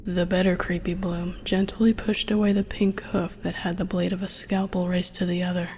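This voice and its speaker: A woman's voice: on the low side, little pitch movement, moderate pace, a little mellow, slight background noise. A woman reading a scripted story aloud as its narrator. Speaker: narrator and reader.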